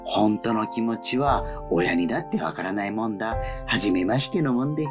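A woman in a whimsical radio drama playing a dying withered leaf, a gently philosophical male character speaking in a theatrical, poetic manner. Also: extremely low pitch, lively intonation, moderate pace, music in the background. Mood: resigned, encouraging.

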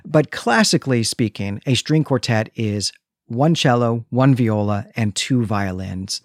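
Guy hosting a podcast, kinda low voice, explains stuff in a chatty way, very dry sound. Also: unhurried, no echo. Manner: explanatory, checks in with "right?" and "you know". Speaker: podcast host. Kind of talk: conversational explanatory podcast talk.

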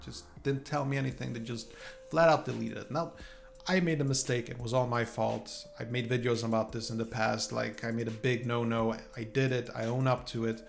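A man from Sweden, an English speaker, chatting informally and unscripted to his viewers in a halting, rambling way. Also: medium pitch, level tone, creaky. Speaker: YouTuber. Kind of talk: casual chat to viewers, unscripted.

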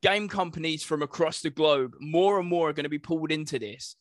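A man's voice: a little high, a little fast, dry recording, studio quality. A British man co-hosting a podcast, chatting casually about the news. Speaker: podcast co-host.

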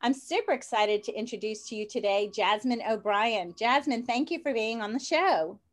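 Chatty host from New Orleans, higher voice. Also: female voice, moderate pace. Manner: enthusiastic, chatty. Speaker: podcast host. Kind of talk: podcast interview.

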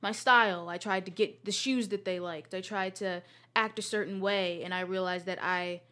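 A woman's voice: medium pitch, steady tone, moderate pace, pushed and bright. Teen girl guest, chatty, laughs easily, filler-heavy casual talk. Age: teens. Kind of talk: casual chat.

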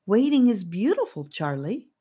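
A woman's voice: mid-range voice, sing-song, moderate pace, warm tone, husky, voice pushed up. A woman reading a storybook, voicing a serene, earnest girl who encourages her friends. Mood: gentle.

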